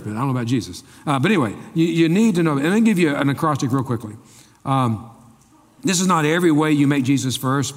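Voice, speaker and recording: A male pastor giving a folksy sermon, joking and asking the congregation quick questions. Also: a little high. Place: church sanctuary. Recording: long reverb of a large hall.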